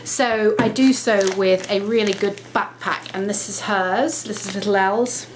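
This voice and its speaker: Woman vlogger, mid-pitched, conversational, slightly nasal with a cold, faint background hiss. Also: steady tone. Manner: chatty, self-correcting, brisk explaining. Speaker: lifestyle vlogger. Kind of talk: casual talk to camera.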